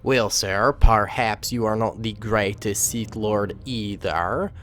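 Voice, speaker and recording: Smug male mad scientist, acted by a narrator, put-on foreign accent, slow taunting drawl, halting, music behind. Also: on the low side, fairly bright tone, pitched below the performer's own voice. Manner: drawn-out, mocking, halting delivery. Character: scheming, smug male scientist defecting from his dark lord.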